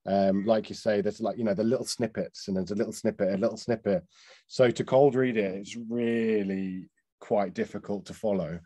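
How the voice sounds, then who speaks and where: A man giving informal feedback on a panel, speaking in a halting, thoughtful way. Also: on the low side, fairly even intonation, warm tone, no room sound. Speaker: casting director on a feedback panel.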